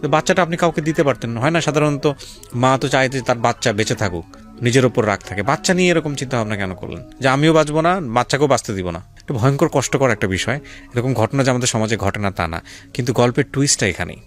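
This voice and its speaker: Male radio host, Bangladeshi accent, mid-range voice, quick delivery, probing interviewer and storyteller over background music. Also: mid-range voice, animated. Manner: probing, asks repeated questions. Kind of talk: interviewing guests and narrating life stories. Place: radio studio. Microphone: studio microphone.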